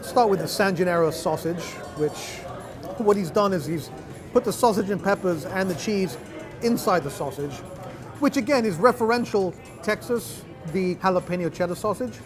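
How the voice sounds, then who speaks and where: High-voiced man tasting barbecue. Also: normal speed, music in the background. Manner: enthusiastic, descriptive, savoring. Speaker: food show host. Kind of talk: food tasting commentary.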